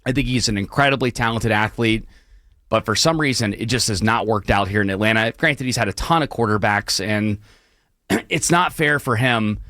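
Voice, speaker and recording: A hoarse young man hosting a late-night talk radio show, apologizing and clearing his throat as his voice gives out. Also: lowish voice, moderate pace, bright, forceful tone, no room sound, studio quality. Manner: apologetic, clears throat often, many restarts. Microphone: studio broadcast mic.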